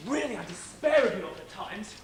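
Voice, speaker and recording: A woman's voice: medium pitch, sing-song, normal speed, very noisy, lightly acted. A woman playing a bossy, exasperated pet dog in a stage pantomime, speaking in a pompous, emphatic way. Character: exasperated, bossy pet dog who demands logical order.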